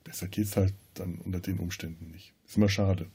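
A man's voice: low-pitched, steady tone, fairly quick, dark tone, breathy edge. A man talks about a film as a podcast host in a casual way, often searching for words. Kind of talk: casual film discussion with scene retelling.

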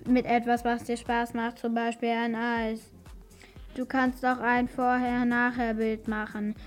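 A female performer playing a stern, matter-of-fact household robot in a children's radio play, instructing in a deliberate way. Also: a little high, completely flat delivery, soft background music, close to an everyday voice. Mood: encouraging.

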